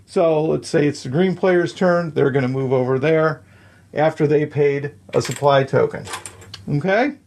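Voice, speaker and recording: A man's voice: on the high side, measured pace, vocal fry, darkish tone. A man explaining the rules of a board game step by step, counting moves aloud and checking in with the listener.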